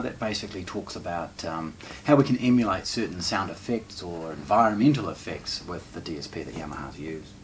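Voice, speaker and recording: Low-voiced man presenting, noisy distant mic. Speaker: presenter of a shop's unboxing channel. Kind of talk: unscripted product unboxing presentation.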